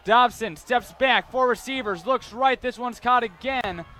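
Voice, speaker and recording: Bright, high-pitched young American sportscaster. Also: male voice, measured pace, faint music behind. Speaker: sports reporter and play-by-play announcer. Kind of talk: sports reporting and live play-by-play commentary.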